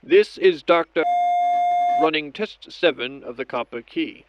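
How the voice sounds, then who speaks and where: A man playing a methodical scientist in an audio drama, narrating each step of an experiment log in a measured, clinical way. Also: fairly high pitch, animated, over loud music. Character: methodical male scientist doctor logging experiments on tape. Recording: dull lo-fi audio with background noise.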